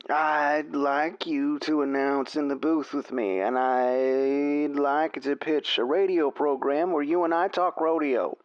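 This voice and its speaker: Older male radio-play role: folksy, persuasive rodeo announcer, slightly high voice, dull close sound. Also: fairly even intonation, slow, few pauses, clean tone, dry recording.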